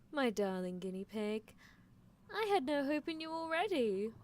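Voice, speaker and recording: A woman's voice: sits high, big pitch swings, a little slow, slight background noise. A woman playing a possessive, coolly unethical female mad scientist in a live ASMR roleplay, coaxing the listener with theatrical delivery. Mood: teasing, sly. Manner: languid, theatrical, coaxing, with soft chuckles.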